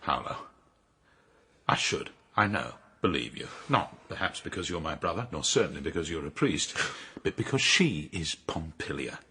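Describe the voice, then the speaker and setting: An actor playing a cold, sardonic, jealous nobleman in a period radio drama, sneering and theatrical, with a raspy voice. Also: rumbling low, sing-song, moderate pace, pushed and bright, exaggerated voice. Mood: suspicious, menacing. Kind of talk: period radio drama acting, narration and dialogue.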